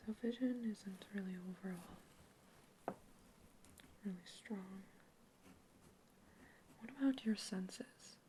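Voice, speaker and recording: A woman whispering in an ASMR roleplay as a polite human researcher questioning a captive alien, gently curious and reassuring. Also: medium pitch, takes it slowly, long pauses, noisy background, lightly acted. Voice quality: soft whisper throughout.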